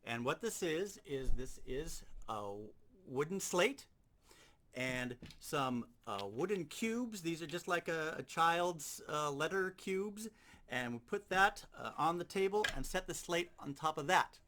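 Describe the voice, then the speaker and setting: A man's voice: fairly high pitch, expressive, measured pace, bright, forceful tone. A man giving an informal instructional demonstration, explaining things step by step. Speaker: sound effects instructor.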